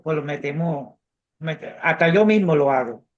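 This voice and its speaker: A man's voice: fairly high pitch, lively intonation, normal speed, muffled recording. A man whose first language is English, teaching a Bible class in Spanish learned with a Cuban accent. He talks earnestly and repeats words while he searches for them. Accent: English-speaking, Cuban-learned Spanish. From United States. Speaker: theology teacher. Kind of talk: interactive online Bible class.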